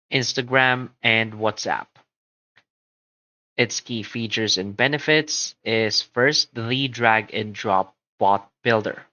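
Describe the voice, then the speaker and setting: Bright-voiced man narrating a slow, scripted explainer. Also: medium pitch, dry recording, poor audio. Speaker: presenter of a software comparison tutorial.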